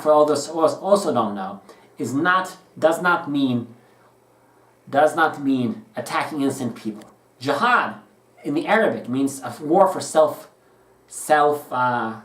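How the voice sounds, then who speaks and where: A man's voice: fairly high pitch, fairly bright tone, recorded from a distance. A man giving an unscripted, opinionated commentary for his channel, earnest and frequently stumbling over and restarting his phrases. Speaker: political commentary vlogger. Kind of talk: unscripted opinion monologue to camera. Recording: roomy sound, speaker away from the mic.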